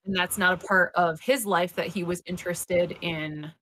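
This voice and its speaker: A woman speaking on a casual panel discussion, earnest and measured, pausing with "uh"s. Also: lowish voice, steady tone, bright, forceful tone, a little hiss or hum.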